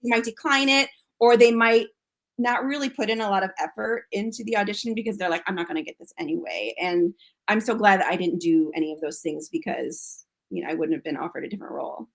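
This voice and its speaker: An American actress talking casually as an interview guest, chatty and full of restarts and fillers. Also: female voice, mid-range voice, quick pace. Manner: chatty, frequent fillers and restarts, laughs often.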